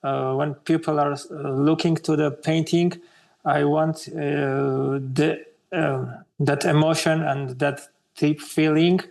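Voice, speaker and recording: A man in his thirties from Armenia, speaking English as a second language with an Armenian accent, slowly searching for words with many hesitations as an interview guest. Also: on the high side, level tone, creaky, darkish tone. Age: 30s. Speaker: guest artist. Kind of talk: interview conversation.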